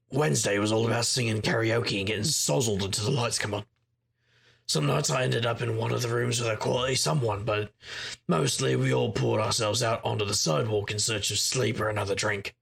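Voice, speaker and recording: An actor playing a world-weary, rueful magical investigator, narrating a noir audio drama in a gravelly, husky voice with wry delivery. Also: male voice, medium pitch, a bit bright, full character voice.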